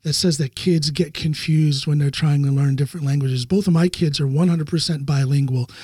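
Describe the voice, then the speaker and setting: A man hosts a podcast in a somewhat high, husky voice, recorded dry and close. He asks encouraging questions, often stumbling and repeating words as he goes. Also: steady tone, a little fast, mellow, dry recording. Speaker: podcast host. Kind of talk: podcast interview conversation.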